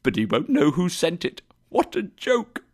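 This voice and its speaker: A giddy old miser reborn, acted out by a man, gushing with glee. His pitch leaps high and swings widely as he exclaims like a merry schoolboy in a storybook tale. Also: huge pitch swings, measured pace, full character voice. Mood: gleeful generosity. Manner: exclamatory, gleeful, effusive. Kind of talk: dramatised storybook reading.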